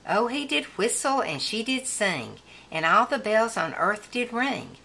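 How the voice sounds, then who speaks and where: A woman reading a children's picture book aloud in a deliberate manner, as if counting along with young listeners. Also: a little high, big pitch swings, vocal fry, pushed and bright.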